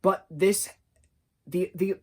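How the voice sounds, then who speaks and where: A man of about thirty giving a casual, unscripted TV review, rambling and halting as he searches for his words. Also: high-pitched, steady tone, a little mellow. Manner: rambling, halting, loses his train of thought. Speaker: TV show reviewer.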